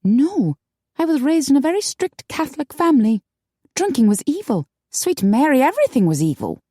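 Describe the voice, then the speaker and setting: Audiobook casting: woman performer as sweet, wide-eyed young vampire woman, high girlish voice, emotional, very dry sound. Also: sing-song, normal speed, mellow, dry recording. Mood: tipsy, confiding. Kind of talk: audiobook character acting.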